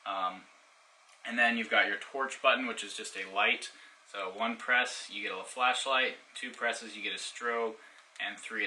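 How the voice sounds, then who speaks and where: A man with a very bright, cutting voice on the lower side walks through a product in a casual, hands-on demonstration. He explains things informally, step by step. Some background noise sits behind him. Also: poor audio. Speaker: product reviewer.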